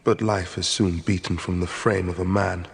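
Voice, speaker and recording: An actor playing a charismatic wine god and cult leader in an audio drama, with a measured, incantatory, poetic delivery. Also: male voice, deep voice, fairly even intonation, creaky, a little hiss or hum. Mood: calm warning. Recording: music behind the voice.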